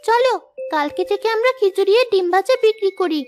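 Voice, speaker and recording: A woman's voice: very high-pitched, animated, a little fast, a little mellow, soft background music. A woman voicing a sweet, eager little sister in a children's moral story cartoon.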